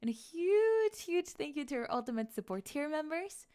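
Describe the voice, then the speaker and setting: Higher-voiced woman hosting an outro. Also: sing-song, moderate pace, warm tone. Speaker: ASMR channel host. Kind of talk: scripted channel outro and supporter shout-outs.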